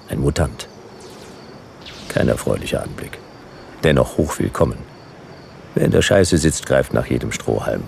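An actor playing a world-weary detective, narrating a sci-fi radio play in dry, clipped noir fragments with a gravelly voice. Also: male voice, extremely low pitch, darkish tone, slight background noise, full character voice. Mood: wry. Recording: music behind the voice.

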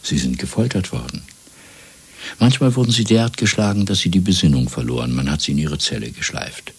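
A menacing male interrogator in a radio play. His very deep, gravelly voice moves in broad swings of pitch while he stays formal and coldly controlled. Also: animated, heavily acted. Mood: methodical, menacing. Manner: measured, formal, probing with rhetorical questions. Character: calm, cold male party interrogator, methodical and menacing. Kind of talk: German radio play acting. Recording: restored 1970s radio drama recording.

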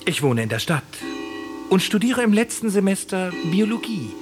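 A man's voice: high-pitched, very animated, moderate pace, over music. An actor playing a polite, inquisitive man in his twenties, a biology student, in a radio play. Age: 20s. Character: young male biology student, smug, with a gold tooth.

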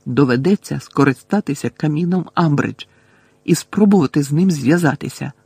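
A man's voice: a little high, normal speed, gravelly, warm tone, husky, no echo, heavily acted. A man voicing an earnest, anxious teenage girl witch in an audiobook, pleading and stubbornly reasoning. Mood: anxious, determined.

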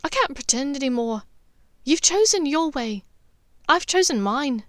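A hurt young witch answers in a high, cutting, reproachful voice, as a woman narrator puts her on. Her words come sharp and raised, recorded close and dry. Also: lively intonation, unhurried, dry recording.

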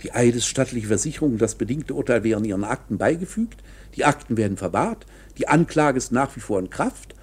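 An actor in a German radio play playing a knowing, coolly matter-of-fact court insider who explains things in a measured, insinuating, officious way. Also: male voice, mid-range voice, animated, slightly rough. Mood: matter-of-fact, resigned.

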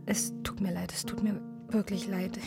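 A woman's voice: mid-range voice, level tone, normal speed, music in the background, natural voice. A woman playing a guarded, frightened young woman in a radio drama, speaking hesitantly in fragments. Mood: guarded, uneasy. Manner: hesitant, fragmented, breathy whispering. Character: guarded young woman leaving lover, turning terrified. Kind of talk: intimate radio drama acting.

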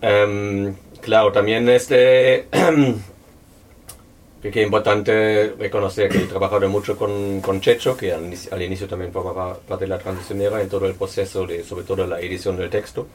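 Low-voiced non-native author, hesitant. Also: fairly even intonation, distant mic, a little hiss or hum. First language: not Spanish. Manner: hesitant, thoughtful, many pauses and fillers. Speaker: author presenting a book. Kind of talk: book launch talk. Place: local venue at a public presentation.

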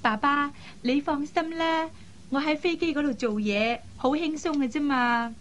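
High-voiced, pouty young Cantonese air hostess. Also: female voice, unhurried, a bit bright. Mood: breezy, defiant. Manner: pouty, teasing, coquettish. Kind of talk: Cantonese radio drama acting. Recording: faint background noise.

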